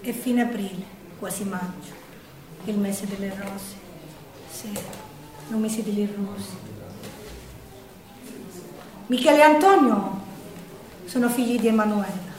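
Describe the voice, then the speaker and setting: A woman's voice: medium pitch, slow pace, pauses often, darkish tone. A woman with a Sicilian accent performing dramatic theatrical storytelling in verse, declamatory and lamenting. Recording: echoey room, distant from the mic.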